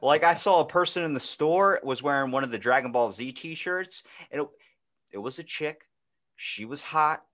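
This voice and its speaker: A man in his twenties chatting casually as a podcast host, telling stories in an animated, slangy way. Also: fairly high pitch, moderate pace. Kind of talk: casual chat.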